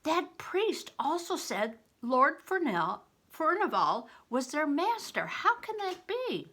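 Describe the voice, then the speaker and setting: A woman's voice: sits high, very animated, slow, sharp tone, voice pushed up, full character voice. An older woman voicing an anxious, earnest orphan boy in a storybook, urgent and questioning. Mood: alarmed, urgent.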